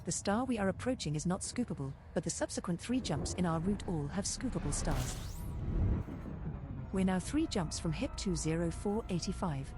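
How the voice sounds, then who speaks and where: A woman's voice: lowish voice, lively intonation, normal speed, music in the background, close to an everyday voice. A woman voicing a precise, helpful spaceship computer copilot in a game, giving articulate status reports. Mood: precise, informative. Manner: articulate, informative. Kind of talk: scripted status announcements.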